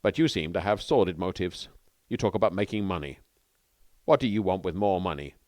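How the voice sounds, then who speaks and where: A man's voice: low voice, lively intonation, fairly quick, darkish tone, no echo, clean studio sound. A man voicing a melancholy young New York newspaperman who talks in a wordy, dryly ironic way. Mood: cautious, dry.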